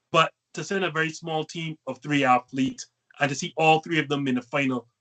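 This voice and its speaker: A man's voice: medium pitch, a bit bright. Bajan guy hosting a sports chat, upbeat, passes it to the guests. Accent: Barbadian. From Barbados. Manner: upbeat, hands the floor to guests. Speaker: host. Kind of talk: casual sports panel chat.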